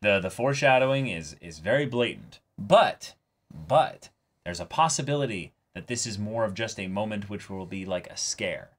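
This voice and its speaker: A man's voice: fairly low pitch, expressive, normal speed, a bit bright. A man in casual discussion as co-host of a reaction show, thinking aloud and hedging as he goes. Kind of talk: casual discussion of an anime episode.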